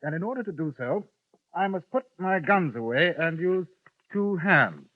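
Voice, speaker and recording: An actor playing a polite, drily menacing gentleman gunman, who also narrates in 1950s radio-drama style. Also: sits high, animated, normal speed. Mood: cool menace. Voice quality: crisp, formal, drily menacing. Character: cool, polite gentleman gunman, middle-aged man. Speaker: narrator and lead. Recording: tinny old radio broadcast, faint hiss.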